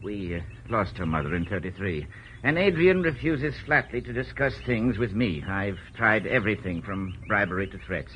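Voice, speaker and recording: A man's voice: on the low side, lively intonation, normal speed, a bit bright. An actor playing a wealthy, fretful middle-aged father in 1940s radio style, hesitant and fussy. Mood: regretful, worried. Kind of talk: 1940s radio drama acting. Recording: old radio recording, faint noise.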